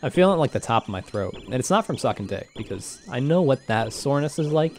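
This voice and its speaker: A young man with a slightly hoarse voice talks over his gameplay in a loose, rambling way. He is often self-deprecating and laughs easily, and his pitch sits in an ordinary middle range. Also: very animated, quick pace, a little hiss or hum. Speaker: gaming commentator. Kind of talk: casual game commentary.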